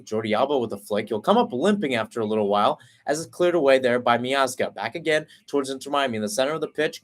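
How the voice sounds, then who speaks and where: A young man calling live soccer play-by-play in a brisk running commentary, often correcting himself mid-phrase. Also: medium pitch. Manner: brisk running call, frequent self-corrections.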